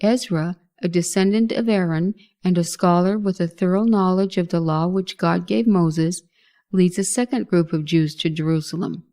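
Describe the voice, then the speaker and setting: A woman's voice: lowish voice, steady tone, normal speed, dark tone. A woman reading a scripted narration of Bible history as a narrator.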